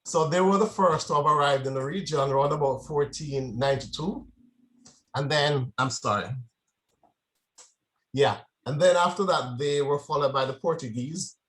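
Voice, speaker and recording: A man's voice: fairly high pitch, normal speed, fairly bright tone. A man from Jamaica giving a conversational presentation to a club, often checking in with the audience. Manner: conversational, frequent "right?" check-ins. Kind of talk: informal presentation.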